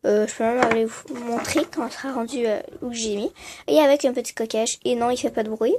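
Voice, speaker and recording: A woman's voice: fairly high pitch, steady tone, brisk, a little mellow. A female vlogger showing her bedroom in a casual tour, stuffy from a cold, hesitant with lots of fillers. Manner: hesitant, many fillers and trailing voilà. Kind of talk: casual room-tour vlog. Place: bedroom at home.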